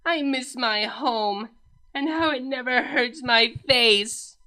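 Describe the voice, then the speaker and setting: A pained young pony princess drones out her complaints at a crawl, voiced by a woman. Her voice sits high and bright over the slow, flat delivery. She sounds worn down by the same ache she keeps mentioning. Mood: monotone misery.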